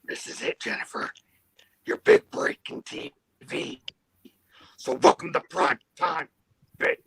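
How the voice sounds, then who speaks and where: A man voicing a cruel, taunting male dream demon in slow, hissing half-whispers. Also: a little high, wildly swooping pitch, creaky, fairly bright tone, breathy edge, no echo, full character voice.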